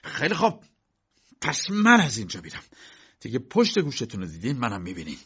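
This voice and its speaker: A man's voice: medium pitch, wildly swooping pitch, a bit bright, husky, full character voice. A man voicing a mocking, irritated male bank official who talks with biting sarcasm, on a dull recording. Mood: curt.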